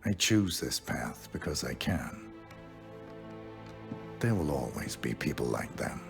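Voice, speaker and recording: A stoic, solemn assassin from a video game. His voice is extremely deep and gravelly, with terse, slow lines over clear music. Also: big pitch swings, exaggerated voice. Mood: grim resolve. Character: grim, stoic male assassin. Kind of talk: scripted video game acting.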